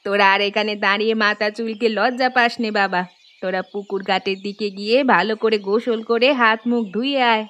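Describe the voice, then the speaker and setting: A woman voicing a caring, gently scolding older village mother in an animated folk tale. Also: medium pitch, a little fast, natural voice. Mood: teasing scolding.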